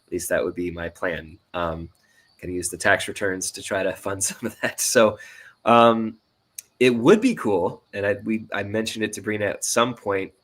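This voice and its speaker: A man with a fairly low voice chats as a guest on an interview show. He is casual and a little tentative, thinking aloud and often qualifying what he says. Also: moderate pace, fairly bright tone. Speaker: guest, indie audio drama writer and sound designer.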